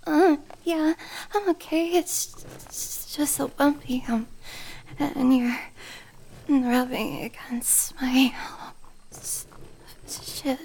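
A woman's voice: high-pitched, fairly even intonation, extremely slow, pauses often, a little mellow, heavily acted, rough recording. A woman voicing a shy, flustered young female elf in an audio roleplay, talking nervously with stammers, apologies, whispers and giggles.

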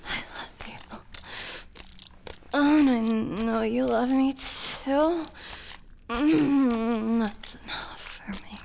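An adoring, possessive elven princess, acted by an adult woman in an intimate audio roleplay. Her voice is fairly high and often creaky, breathy and sliding into whispers, with moans between phrases. A faint noise sits behind her. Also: a little mellow, exaggerated voice. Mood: commanding, aroused. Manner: slow, breathy, moaning, often dropping into whispers.

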